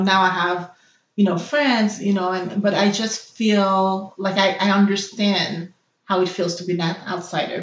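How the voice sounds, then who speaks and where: A young woman from Haiti, Haitian Creole her first tongue, answers interview questions in a thoughtful, hesitant way, often pausing on fillers. Her voice sits at a middle pitch. It sounds roomy and a little dull. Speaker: interview guest, nurse. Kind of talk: personal interview.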